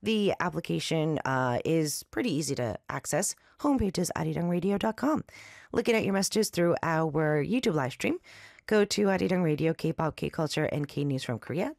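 Raspy, nasal woman radio host. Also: lowish voice, normal speed, no echo, clean studio sound. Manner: chatty, loose and lively, with fillers. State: recovering from an allergy attack. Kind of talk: casual radio hosting with announcements. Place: radio studio. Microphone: studio microphone.

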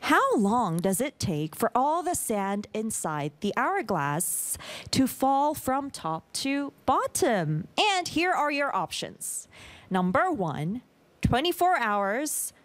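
A woman's voice: fairly high pitch, sing-song, slow. A woman hosting a quiz in an encouraging way, chatting with her guests and echoing what they say. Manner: encouraging, echoes guests' answers. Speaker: quiz show host. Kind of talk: lively hosted quiz chat.

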